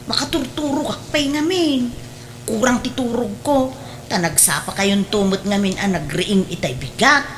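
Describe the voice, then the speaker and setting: A woman's voice: fairly high pitch, normal speed, a little hiss or hum, close to an everyday voice. A woman playing a cheerful, cheeky young housemaid in an Ilocano radio drama. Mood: insistent.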